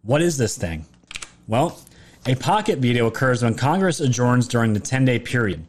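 A man's voice: medium pitch, big pitch swings, moderate pace, slight background noise. A man with a sore, fading voice talking through a casual livestream monologue as a political commentator, rambling and emphatic, often restarting his phrases. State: throat sore, losing his voice.

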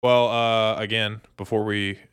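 A man co-hosting a podcast with casual, informal banter, thinking out loud as he talks. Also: fairly low pitch, little pitch movement, a little slow, clean tone, no echo, studio quality. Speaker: podcast co-host. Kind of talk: casual sports and trivia banter.

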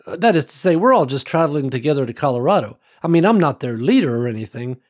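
A man's voice: on the high side, very animated, fast talker, voice pushed up. A man voicing a young woman who speaks earnestly and a bit hesitantly about being a reluctant leader.

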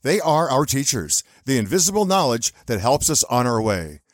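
An older man with a deep, rich radio voice, reading a scripted show introduction in a polished announcer style. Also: big pitch swings, moderate pace, dry recording, studio quality. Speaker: podcast co-host.

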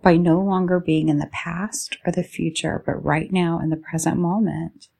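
A woman leading a guided meditation, speaking soothingly in short, drawn-out phrases with a soft-edged, creaky voice. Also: on the low side, steady tone.

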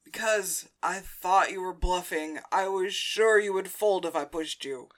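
A woman voicing a stubborn, easily riled man in an audiobook story, arguing loudly over a card game. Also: fairly low pitch, unhurried, close to an everyday voice. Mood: defensive, loud. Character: hot-headed, stubborn male poker player, easily riled.

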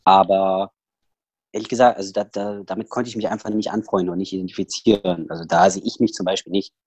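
A man's voice: low-pitched, fairly even intonation, quick pace, darkish tone, slightly rough, dry recording. A man with a Saxon accent, a podcast guest talking casually over a headset, rambling with many fillers and restarts. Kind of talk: casual personal interview talk.

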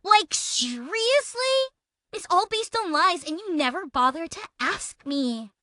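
A woman's voice: very high-pitched, sing-song, a little slow, pushed and bright, dry recording, clean studio sound, exaggerated voice. A woman dramatically reading the texts of an abusive, self-pitying woman, in an accusatory, aggrieved manner. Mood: threatening, aggrieved. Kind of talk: dramatic reading of a text exchange.

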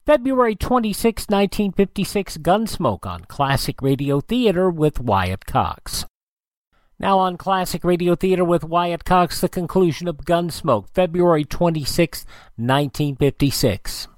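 A male radio host with a notably high-pitched voice. He delivers scripted announcements in a presenter's style. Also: animated, a little slow.

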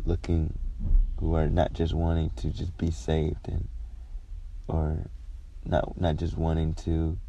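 A man with a low, flat voice gives an informal devotional talk. His delivery is reflective and halting, often pausing in the middle of a phrase, with a heavy vocal fry running through it. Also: a little hiss or hum, poor audio.

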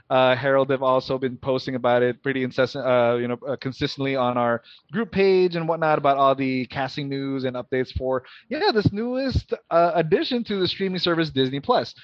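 A man's voice: on the high side, moderate pace, few pauses, no room sound. Man, podcast co-host, chatty, filler-heavy, dry lo-fi sound. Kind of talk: casual chat, introducing segments. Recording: dull lo-fi sound.

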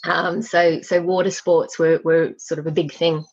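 A woman's voice: low voice, level tone, unhurried, husky, muffled recording. A woman who grew up in Australia, a guest on an interview podcast, chatting casually with frequent laughs and many ums.

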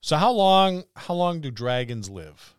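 A man's voice: fairly high pitch, sing-song, measured pace, clear, resonant tone, no echo, clean studio sound. A man co-hosting a podcast in casual, conversational discussion of a hobby. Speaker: podcast co-host. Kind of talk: casual tabletop gaming discussion.

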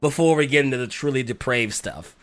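A man co-hosting a podcast, talking casually with a raspy, congested voice while getting over a cold, hesitant and full of fillers. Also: mid-range voice, a little fast. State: recovering from a cold. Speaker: podcast co-host. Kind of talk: casual chat.

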